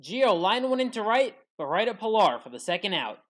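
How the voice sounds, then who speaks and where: A woman's voice at a middling pitch provides sports commentary over highlights. She reads a prepared play-by-play recap, telling each play in order as a narrator would. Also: very animated, fairly quick.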